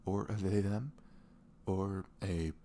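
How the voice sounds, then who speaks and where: A young man playing a sweet, caring boyfriend in an intimate ASMR roleplay, rambling casually in slangy, animated speech. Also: deep voice, slow, a little hiss or hum. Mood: earnest, supportive. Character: sweet, caring, chatty boyfriend on a morning after. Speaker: audio roleplay voice actor.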